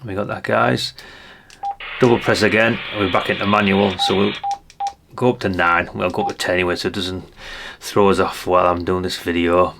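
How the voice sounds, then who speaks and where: A man's voice: sits low, level tone, a little fast, creaky, background noise. An older man chatting in a rambling way, thinking aloud through a casual hands-on product review. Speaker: radio hobbyist reviewer.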